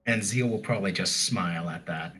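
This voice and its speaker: Guy in a tabletop game saying what his character does, lowish but bright voice, soft music behind. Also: brisk. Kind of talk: casual description of his character's actions.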